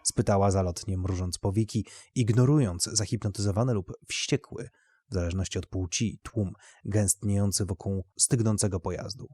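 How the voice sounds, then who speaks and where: A man's voice: lowish voice, normal speed, mellow, dry recording, studio quality. A man narrating literary fiction as a scripted audio story.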